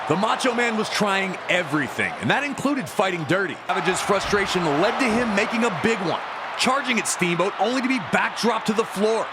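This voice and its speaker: A man's voice: high voice, lively intonation, fairly quick, a loud crowd. A man giving emphatic, dramatic retrospective commentary on wrestling matches. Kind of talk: dramatic retrospective sports narration. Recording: loud noise behind the voice.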